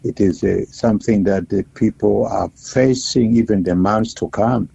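A man with a South Sudanese accent, a bishop speaking haltingly and deliberately as an interview guest over the phone. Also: lowish voice, gravelly, dark tone, breathy edge, no echo. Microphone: telephone. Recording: dull, muffled phone line.

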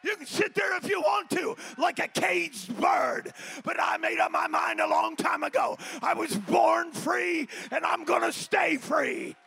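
A man with a very high, gravelly voice preaches a fiery Pentecostal sermon. He shouts and exhorts the congregation in a bright, tense tone. His voice pushes hard in a church sanctuary. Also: big pitch swings, unhurried, few pauses, poor audio. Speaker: pastor.

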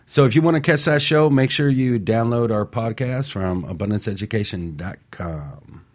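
A man's voice: fairly low pitch, normal speed. A man co-hosting a motivational radio talk show, stressing and repeating his key points emphatically.

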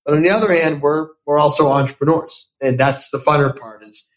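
A man's voice: a little high, steady tone, fairly quick, rough recording. A young man speaking as an investor guest in a podcast interview, answering thoughtfully and often restarting with repeated words. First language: Italian. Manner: thoughtful, repeats words when starting sentences. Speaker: venture capital investor, guest.

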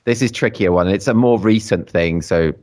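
A young man hosting a casual quiz chat, upbeat and quick to praise, laughing often. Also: lowish voice, darkish tone. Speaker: host.

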